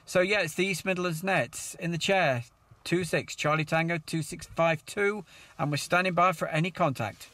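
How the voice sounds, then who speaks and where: A man's voice: on the high side, moderate pace, bright, forceful tone, no echo. A man chatting casually as controller of a CB radio net, in a hesitant, chatty way. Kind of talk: casual amateur radio chat. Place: mobile radio setup.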